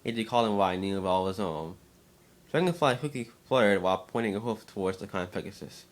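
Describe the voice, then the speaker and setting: A man with a fairly low voice narrates a storybook-style fan tale. His reading is amateur, with occasional stumbles and repeated words. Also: animated, brisk.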